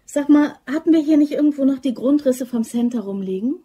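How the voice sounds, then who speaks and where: A woman's voice: sits high, brisk, dark tone, lightly acted. A woman playing an eager, naive young woman in a German crime radio drama, chatty and insistent. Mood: casual, sly. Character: eager young woman devoted to her boyfriend, scheming, upbeat.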